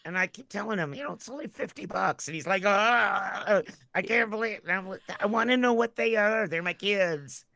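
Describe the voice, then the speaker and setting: Woman, podcast co-host, sharp bright tone, close dry sound, chatty, animated jokey storytelling. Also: mid-range voice, moderate pace, vocal fry, no room sound. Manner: animated, jokey, acts out little quotes. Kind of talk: casual chatty anecdotes.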